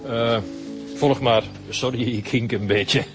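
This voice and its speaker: Actor playing a smug Flemish landowner, mid-pitched. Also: male voice, steady tone, takes it slowly, over music, lightly acted. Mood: falsely jovial. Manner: condescending, chuckling. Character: smug, mocking well-off male landowner, falsely jovial. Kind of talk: radio crime drama acting.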